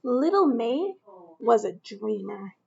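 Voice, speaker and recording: A woman teacher reading a picture book aloud to children, gentle and slow, with questions to her listeners. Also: a little high, sing-song, creaky, muffled recording.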